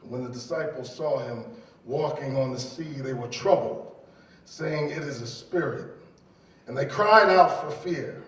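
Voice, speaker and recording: A man's voice: mid-range voice, unhurried, fairly bright tone. A man from New York City preaching a sermon as pastor, repeating key phrases and speaking straight to the congregation. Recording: echoey hall, distant mic.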